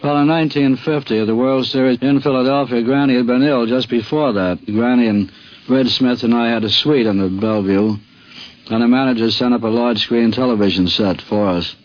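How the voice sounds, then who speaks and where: A man's voice: medium pitch, a little fast, highs cut off. A man telling an anecdote in a conversational way on a vintage radio recording. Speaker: sportswriter, interviewee.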